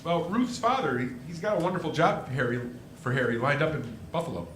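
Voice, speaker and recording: An excitable small-town young man from an old-time radio drama, played by a man. His voice is on the high side and forceful, swinging with emphatic, halting speech. It rings in a live room with faint noise. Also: echoey room. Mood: wry, restless. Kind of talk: live radio play acting in 1940s style.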